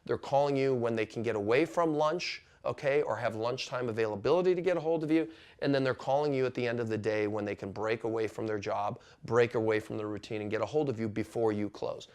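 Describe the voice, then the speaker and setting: A man's voice: mid-range voice, quick pace, hardly any pauses, darkish tone. A man presenting an instructional business video in an emphatic way, punctuating points with frequent check-in questions. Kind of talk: instructional explainer to camera.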